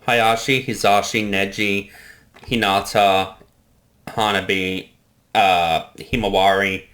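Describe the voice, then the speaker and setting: A man's voice: fairly low pitch, fairly even intonation, slow, a bit bright. A man chatting casually as a director giving commentary, with an enthusiastic manner.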